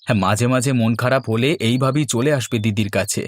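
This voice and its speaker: A man's voice: mid-range voice, fast talker, natural voice. Man acting a kind, humble young husband. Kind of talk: Bengali fairy-tale cartoon dubbing.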